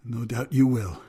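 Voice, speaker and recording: An actor playing a frail, loving elderly father in an audio drama, proud yet hesitant and tender, with a husky voice. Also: mid-range voice, heavy background noise. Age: older.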